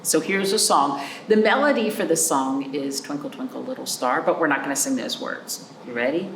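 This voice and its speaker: A woman hosting a children's storytime as a librarian, reading aloud with chatty asides and simple, animated explanations with little check-in questions. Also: low-pitched, normal speed, echoey room, a little hiss or hum, poor audio. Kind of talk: picture-book read-aloud with chatty asides for young children.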